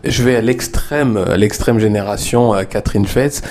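A man hosting a discussion, asking his questions in a measured, hesitant way. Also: fairly low pitch, a little mellow, slight background noise. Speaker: host. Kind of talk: moderating a discussion.

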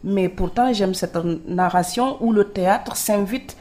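A woman from Senegal, an author being interviewed, telling her stories in a lively way and laughing easily. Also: medium pitch, measured pace. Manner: lively storyteller, laughs easily, acts out quoted dialogue. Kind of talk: conversational interview.

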